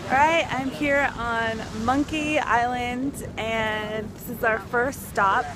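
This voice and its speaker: A woman's voice: fairly high pitch, fairly even intonation, takes it slowly, loud music behind the voice. A young woman in her twenties filming a casual travel vlog with a handheld camera, talking in a chatty, upbeat way on a beach and a boat. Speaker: travel vlogger. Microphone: camera held at arm's length.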